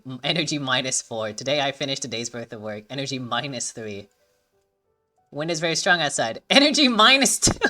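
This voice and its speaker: Low-voiced, animated young woman gamer. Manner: animated, thinking aloud, reading game text aloud, swearing casually. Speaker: gaming streamer. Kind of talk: casual gameplay commentary.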